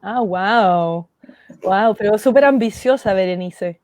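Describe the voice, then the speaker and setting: A woman's voice: mid-range voice, slow, a little mellow, no echo. Dry-voiced upbeat woman host. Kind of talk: interview on a video call. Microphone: video call.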